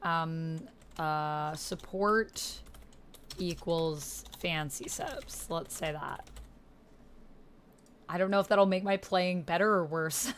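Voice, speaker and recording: A woman's voice: on the low side, takes it slowly, a little hiss or hum. A woman hosting a roleplaying game livestream, chatting informally and thinking aloud as she types. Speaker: streamer hosting a solo actual-play roleplaying game. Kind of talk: casual livestream chat, reading out typed roleplay. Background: computer keyboard typing.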